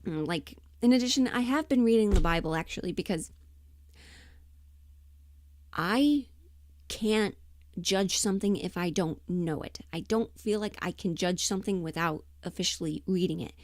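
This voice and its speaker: A woman chatting casually and emphatically on a livestream, rambling in an animated, opinionated way. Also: fairly low pitch, moderate pace, dry recording. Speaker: livestream host and voice performer.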